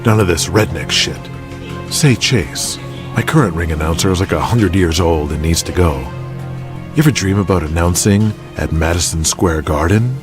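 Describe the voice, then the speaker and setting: A man's voice: deep voice, moderate pace, over music, close to an everyday voice. A man playing a brash, bullying wrestling boss in an audio drama, contemptuous and commanding. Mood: brash, sizing up. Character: brash, foul-mouthed, bullying young male wrestling boss.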